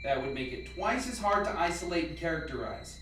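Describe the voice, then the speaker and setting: A man acting in an amateur radio play as a calm, instructive scientist briefing a lab team with measured delivery. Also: fairly high pitch, slight background noise. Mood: matter-of-fact briefing. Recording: echoey room, distant mic, faint music.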